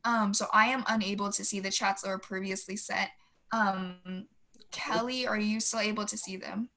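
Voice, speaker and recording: A woman's voice: medium pitch, fairly even intonation, normal speed, sharp tone. A woman hosting an online Q&A, reading out audience questions in a friendly way with frequent ums. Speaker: webinar host.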